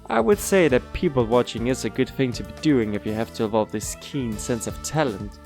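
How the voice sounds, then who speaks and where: An actor playing a courteous, lightly teasing school interviewer in an audio drama, formal and measured. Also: male voice, mid-range voice, expressive, a little mellow, faint music behind, lightly acted. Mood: amused. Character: courteous, teasing male school interviewer, former talent scout.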